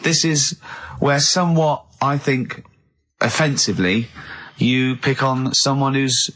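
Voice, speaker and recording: A man hosting comic radio chat, teasing and challenging, quick to crack up. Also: mid-range voice, a bit bright. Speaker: radio show host.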